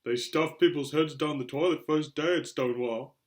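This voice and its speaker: Man doing a loud, spoiled brat of a boy. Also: on the high side, normal speed, close to an everyday voice. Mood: taunting. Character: loud, spoiled, bullying schoolboy, whiny and demanding.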